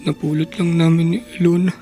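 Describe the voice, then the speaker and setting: An actor playing a poor, weary, earnest teenage runaway who scavenges trash, in a Filipino radio drama. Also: male voice, on the high side, flat delivery, fast talker, mellow, soft background music, lightly acted. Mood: weak, apologetic.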